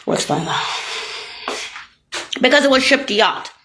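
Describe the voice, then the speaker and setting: A woman talking alone in a rambling, profane rant that jumps between topics and breaks into sudden shouts. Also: a little high, big pitch swings, moderate pace, background noise. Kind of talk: rambling solo monologue.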